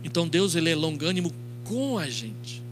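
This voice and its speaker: A middle-aged Brazilian pastor preaches in a high male voice. He tells the story through quoted dialogue and rhetorical questions. The recording comes from a handheld microphone in a church, with clearly audible noise and mains hum. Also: sing-song, normal speed. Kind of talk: expository sermon. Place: church stage, indoors.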